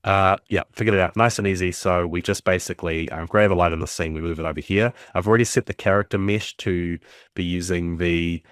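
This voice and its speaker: A man's voice: low voice, fairly even intonation, a little fast, a bit bright, clear, resonant tone, no room sound, studio quality. A man with a stuffy cold, casually talking through a tutorial, thinking aloud with lots of ums and uhs. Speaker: game developer teaching. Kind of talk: casual screen-recorded tutorial.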